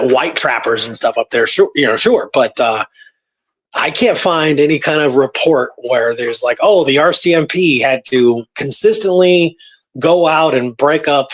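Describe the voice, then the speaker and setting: Slightly husky man musing in casual discussion. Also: medium pitch, a bit bright. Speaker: co-host. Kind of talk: casual discussion of a historical story.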